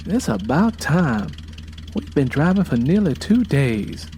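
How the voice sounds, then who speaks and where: A man's voice: on the high side, big pitch swings, a little slow, darkish tone, a little hiss or hum. A man voicing a weary, irritable young woman who grumbles and questions in an audio drama. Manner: plain questioning, drowsy and grumbling.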